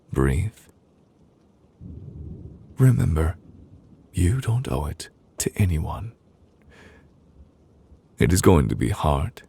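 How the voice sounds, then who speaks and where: A man playing a gentle, protective male lover in an intimate ASMR roleplay, soothing and deliberate, with soft pauses and close-up delivery. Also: low voice, creaky, breathy edge, heavily acted. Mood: comforting, encouraging. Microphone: close, dry studio microphone.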